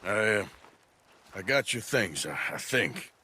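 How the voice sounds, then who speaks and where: A weary Western outlaw for a game. He is plainspoken and sardonic, with a bright, hard edge and very mobile pitch, and a troubled conscience under it all. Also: big pitch swings, unhurried, breathy edge, exaggerated voice. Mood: remorseful, subdued. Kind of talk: dramatic video game acting.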